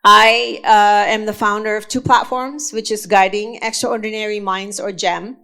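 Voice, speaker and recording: A woman from Indonesia giving a conference talk, speaking slowly and deliberately with frequent fillers and restarts. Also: medium pitch, fairly even intonation, sharp tone. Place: conference session room.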